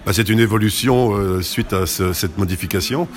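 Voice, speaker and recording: A man's voice: on the low side, normal speed. A man answering interview questions as a committee president, explaining in detail and often correcting himself.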